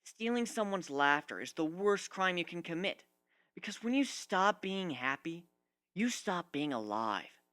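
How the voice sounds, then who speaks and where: A man's voice: sits high, big pitch swings, measured pace, sharp tone, clean tone, pitched above the performer's own voice, heavily acted. A man voicing a proud, embittered young griffin warrior in a story reading, blunt and emphatic. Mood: grave, impassioned.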